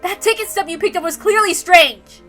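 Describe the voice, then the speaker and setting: Woman acting a high-voiced, sceptical, exasperated girl. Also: moderate pace, sharp tone, faint music behind. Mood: indignant. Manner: insistent, questioning. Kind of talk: dramatic reading of a visual novel.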